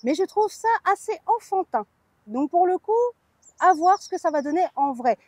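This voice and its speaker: Woman vlogger, really high voice, chatty and playful, candid opinions, dry close sound. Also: animated, moderate pace, darkish tone, no room sound. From France. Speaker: vlog host. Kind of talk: chatty theme park news vlog.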